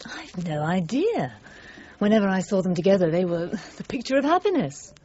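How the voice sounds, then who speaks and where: Woman playing a mellow, guarded office manager. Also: mid-range voice, big pitch swings, moderate pace, dull audio, natural voice. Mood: discreet, reflective. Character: articulate, guarded woman personnel manager being questioned. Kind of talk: radio crime drama acting.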